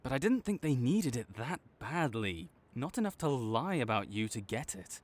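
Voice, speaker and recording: Man playing a cocky supervillain rival, swooping pitch. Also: sing-song, moderate pace. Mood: grudgingly concerned. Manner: bantering, exasperated, faltering into awkward concern. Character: cocky young male supervillain, teasing, secretly caring rival. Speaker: audio roleplay voice actor. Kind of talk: dramatic one-sided audio roleplay.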